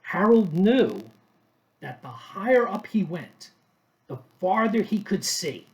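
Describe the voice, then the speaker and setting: A man reading a children's story aloud expressively, pausing between short phrases. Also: high-pitched, sing-song, a little mellow, highs cut off. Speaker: storybook reader.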